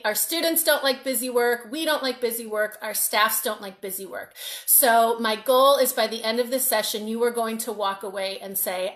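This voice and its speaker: Woman coach, 40s, slightly high voice, upbeat live-stream host greeting viewers, home webcam. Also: a bit bright. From Massachusetts, North Shore of Boston. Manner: upbeat, greets viewers by name, restarts words. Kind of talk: live-stream workshop talk. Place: room at home, indoors.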